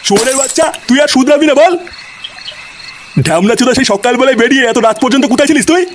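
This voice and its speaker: A man voicing an excitable, scolding Bengali villager in a comic cartoon, talking in crude, agitated slang. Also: very high voice, fast, a little hiss or hum. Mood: scolding, angry.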